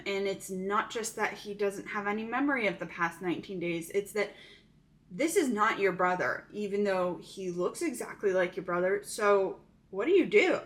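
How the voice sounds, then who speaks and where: A woman's voice: mid-range voice, a bit bright. A woman casually recapping a thriller movie plot, chatty and full of "um" fillers.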